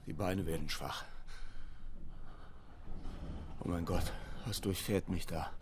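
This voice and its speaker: An actor playing a loyal, troubled ship's mate in a German radio play, moving between hushed foreboding and hailing shouts. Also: male voice, sits low, background noise, full character voice. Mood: hushed, fearful. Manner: theatrical, from hushed murmur to loud hailing shouts.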